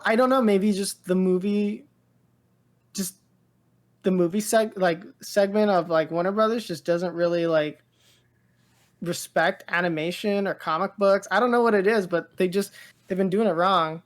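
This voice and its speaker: A woman's voice: medium pitch, steady tone, moderate pace. A woman co-hosting a show in casual chat, with informal, slangy talk full of "like" and "dude". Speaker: co-host of a pop culture show.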